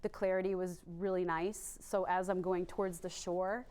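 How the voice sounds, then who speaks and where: A woman's voice: on the low side, level tone, moderate pace, a little mellow, clean tone. Chatty woman free diver telling stories. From Maine, USA. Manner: chatty, rambling with many fillers. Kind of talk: casual interview storytelling.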